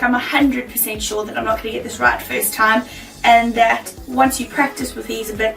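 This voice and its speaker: A woman with a croaky, worn voice chatting casually to camera as a baking vlogger, bubbly and laughing easily. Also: on the high side, fairly bright tone, reverberant, distant mic, rough recording. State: croaky, losing her voice.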